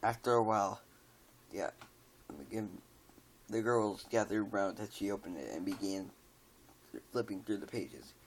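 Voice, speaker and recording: A man's voice: lowish voice, normal speed, long pauses, a little hiss or hum, rough recording. A tired man casually reads a scary story aloud, stumbling over words and joking about them.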